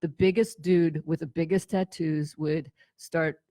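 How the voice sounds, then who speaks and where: A woman talking casually as an interview guest, telling anecdotes in an animated, conversational way and acting out bits of dialogue. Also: deep voice, measured pace, dry recording, clean studio sound. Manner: animated storytelling, quotes imagined dialogue. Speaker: radio show guest, former tour manager.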